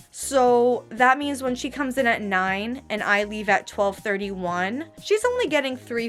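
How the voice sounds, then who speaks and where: A young woman of twenty-one talks fast and exasperated in a casual rant, her voice sitting a little high. A slight lisp softens her s sounds. Faint music plays underneath. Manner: animated, exasperated, slangy, peppered with "okay?". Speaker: vlogger and barista trainer. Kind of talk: casual ranting vlog.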